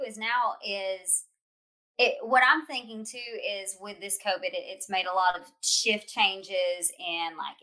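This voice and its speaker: A woman's voice: medium pitch, pushed and bright, low-quality recording. A woman hosting a casual interview, a mother who asks reflective, encouraging questions. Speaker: host.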